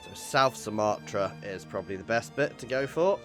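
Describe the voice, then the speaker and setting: A man's voice: fairly low pitch, moderate pace, fairly bright tone, faint music behind. A man giving casual let's play commentary on a strategy game, thinking aloud with playful exclamations. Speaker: gaming video host.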